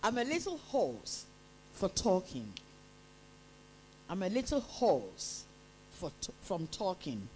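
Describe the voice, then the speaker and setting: A woman serves as quiz master at a school contest, reading each line slowly and deliberately before repeating it. Her voice sits fairly low with a mellow, dark tone. Her pace stays unhurried throughout. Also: wildly swooping pitch, slight background noise, rough recording.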